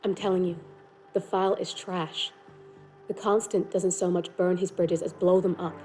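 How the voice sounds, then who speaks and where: A woman's voice: fairly low pitch, flat delivery, normal speed, a little mellow, soft background music, natural voice. A woman playing a tough, streetwise young hacker in a video game, blunt, wry and informal. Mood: wary, exasperated. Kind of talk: cinematic video game acting.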